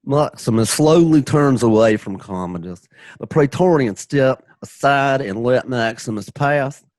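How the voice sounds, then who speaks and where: A man narrating an amateur radio play in a raspy, hammy, playful voice, often shouting and dropping joking asides. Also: medium pitch, measured pace, no echo.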